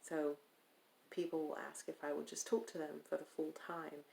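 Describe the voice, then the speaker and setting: Woman doing a fake accent for her vlog, low and kinda gravelly, lots of pauses, bit of background hiss. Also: level tone, darkish tone, poor audio. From Stockton, California. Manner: speaking in a deliberately put-on foreign-sounding accent; rambling, hesitant, with many pauses. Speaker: vlogger. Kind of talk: casual video chat.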